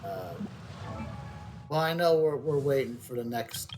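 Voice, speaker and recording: A man giving casual live game commentary, thinking aloud in a halting way. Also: mid-range voice, lively intonation. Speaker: game streamer. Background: soft game music.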